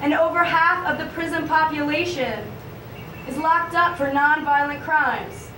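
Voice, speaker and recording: A woman with a high voice performs a scripted theatrical monologue. She is picked up from some distance in a roomy space, with clear noise around her. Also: measured pace. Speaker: puppet show performer.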